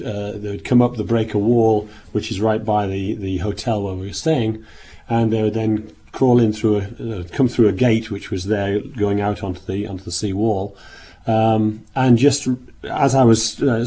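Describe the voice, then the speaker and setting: A man giving a sermon in a meeting room, speaking hoarsely as he nearly loses his voice, rambling with many restarts. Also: lowish voice, mellow. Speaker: minister. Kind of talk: sermon opening with travel account.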